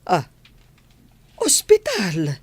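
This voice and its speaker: A woman playing an easygoing, curious friend in an audio drama, conversational with drawn-out hums. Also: lowish voice, huge pitch swings, slightly rough, dry recording, heavily acted. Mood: curious, friendly.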